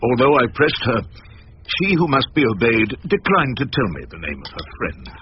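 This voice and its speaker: An actor playing a wry elderly English barrister, narrating his own story in a dry, measured, literary way for radio drama. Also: medium pitch, huge pitch swings, highs cut off, slight background noise.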